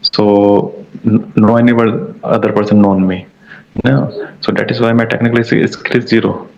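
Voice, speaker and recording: A young Indian man whose first language is Hindi, speaking English in a halting way, repeating words as he searches for phrasing. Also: fairly low pitch, little pitch movement, gravelly, a little mellow, slight background noise. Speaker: English learner in a practice conversation. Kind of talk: casual practice conversation. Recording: dull lo-fi audio.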